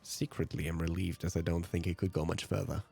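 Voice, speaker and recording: A native German man with a very low, creaky voice reads out visual novel narration as a let's play host. His delivery is husky, with soft game music underneath. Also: flat delivery, fairly quick.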